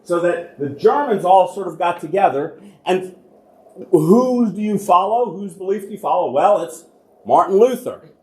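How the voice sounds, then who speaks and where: A man's voice: high voice, animated, normal speed, darkish tone. A man, a church archivist, giving an informal history talk to a congregation, chatting along and posing questions that he then answers himself. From United States. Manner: conversational, asks and answers his own questions. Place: church hall with an audience. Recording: roomy echoing hall.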